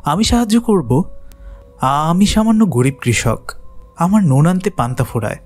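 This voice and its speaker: Man acting a timid, high-pitched poor farmer. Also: animated, moderate pace. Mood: humble, doubtful. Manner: timid, earnest, dramatic. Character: humble, frightened poor young farmer, honest and kind. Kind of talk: animated folk tale voice acting.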